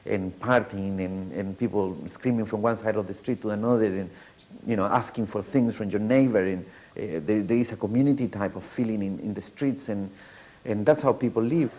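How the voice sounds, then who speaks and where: A man's voice: on the low side, a little fast, a little mellow, low-quality recording. A man, a community organizer giving a hesitant documentary interview full of fillers and restarts. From Latin America.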